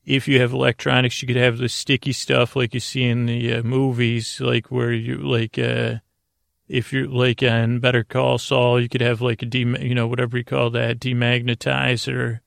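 A man with a heavily gravelly, creaky voice delivers an improvised bedtime podcast monologue. His pitch stays fairly even as he meanders, stumbling and repeating words. The recording is dry and close. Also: no room sound. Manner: deliberately slowed-down delivery. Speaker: podcast host.

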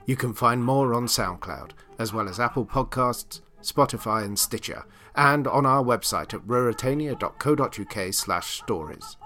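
A man's voice: mid-range voice, moderate pace, few pauses, pushed and bright. Male podcast announcer over faint music. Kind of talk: scripted closing credits.

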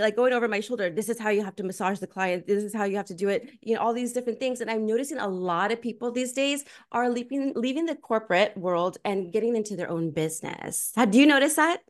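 A woman in her forties hosting a podcast, chatting casually, bubbly and quick to laugh. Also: fairly high pitch. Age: 40s. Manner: bubbly, laughs often, lively exclamations. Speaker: podcast host. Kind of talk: casual interview chat.

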